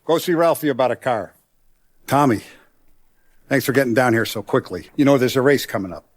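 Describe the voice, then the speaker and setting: Video game mob boss, male, played by a man, middle-aged. Paternal but ruthless, deliberate, authoritative, streetwise, mid-pitched. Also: natural voice. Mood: businesslike, confiding. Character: commanding, paternal, proud mob boss, principled yet ruthless. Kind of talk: dramatic video game voice acting.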